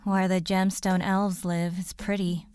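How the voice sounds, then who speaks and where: A woman's voice: mid-range voice, little pitch movement, measured pace, darkish tone, smooth, no room sound, soft background music, close to an everyday voice. A woman playing a curious, questioning adventurer in a fantasy audio drama. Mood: musing.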